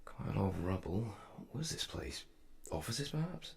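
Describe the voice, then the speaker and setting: A man's voice: on the low side, big pitch swings, moderate pace, vocal fry. A man playing a curious, self-questioning time traveller in an audio drama, musing in a wry, hesitant way. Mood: curious, wary. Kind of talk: audio drama monologue and dialogue. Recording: music under the voice.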